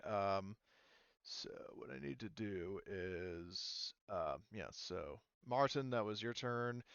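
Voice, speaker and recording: Guy game mastering a tabletop rpg, smooth low voice, thinks out loud, kinda muffled audio. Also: no room sound. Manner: casual, halting, swears, thinking aloud. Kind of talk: running a tabletop roleplaying game, improvised narration and rules talk.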